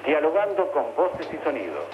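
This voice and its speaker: A man's voice: fairly high pitch, huge pitch swings, normal speed, highs cut off, background noise. A man with an Argentine Spanish accent speaking formally as a radio announcer over a long-distance link. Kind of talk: formal message read slowly for dictation.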